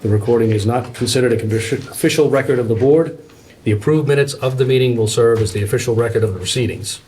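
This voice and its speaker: A man chairs a town board meeting and reads its formal opening in a measured, plain way. His voice sits at an ordinary middle pitch. He speaks into a table microphone, with faint noise in the background. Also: warm tone, low-quality recording.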